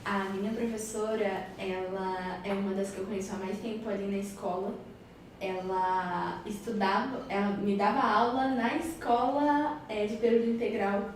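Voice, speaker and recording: A woman's voice: medium pitch, fairly even intonation, moderate pace, slight background noise. Young woman, distant in an echoey room. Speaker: high school student. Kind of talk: documentary interview.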